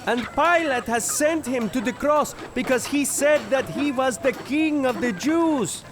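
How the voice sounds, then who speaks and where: An actor playing a polite young passer-by in an audio drama, earnest and deferential. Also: male voice, very high-pitched, measured pace. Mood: earnest, hurried.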